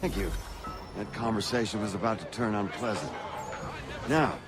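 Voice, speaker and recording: An actor playing an affable, genteel colonial politician in a video game, with theatrical delivery. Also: male voice, lowish voice, moderate pace, lightly acted. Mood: genial. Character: genial, smooth-talking colonial politician.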